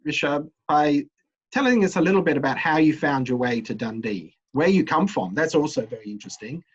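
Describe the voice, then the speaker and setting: Middle-aged male academic host, husky, hesitant delivery, dull muffled audio. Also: fairly high pitch, animated, fairly quick. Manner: hesitant, many ums and uhs. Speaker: university associate dean interviewing a student. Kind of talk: relaxed interview questions.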